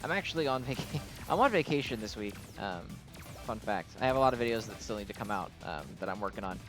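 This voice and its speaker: A man's voice: on the low side, animated, fast. A man giving casual, unscripted game commentary as a channel host, rambling and often hedging his opinions. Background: music.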